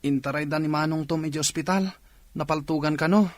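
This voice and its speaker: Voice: medium pitch, fairly even intonation, brisk, no room sound, natural voice. Radio drama: actor for anxious Filipino male employee, pleading, mid-range voice. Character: worried male employee pleading to leave work.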